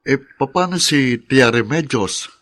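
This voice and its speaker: Bossy filipino kuya, teasing his little sister, mid pitch, close dry studio. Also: expressive, slow, fairly bright tone, no echo. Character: teasing, bossy, protective young adult older brother. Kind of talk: Filipino radio drama acting.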